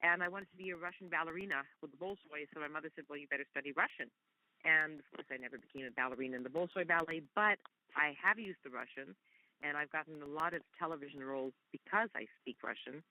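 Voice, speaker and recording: A woman's voice: deep voice, fast talker, dull audio. A woman from Canada whose first language is Hungarian, talking effusively as a guest actress in a casual interview.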